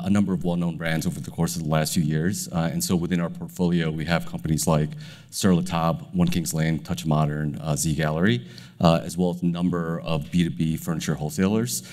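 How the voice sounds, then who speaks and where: A man with a low voice, raspy and hoarse from a cold, introduces himself on a conference panel before a live audience. He speaks haltingly, with many fillers. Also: fairly even intonation, darkish tone, long reverb tail. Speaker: panelist, company president.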